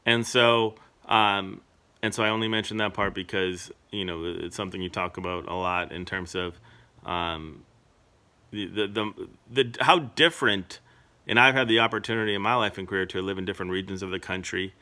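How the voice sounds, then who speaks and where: A man's voice: deep voice, steady tone, moderate pace, bright, forceful tone. A man from Massachusetts interviewing his relative casually, asking rambling questions full of "and so" and "you know". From Massachusetts, United States. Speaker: interviewer, journalist.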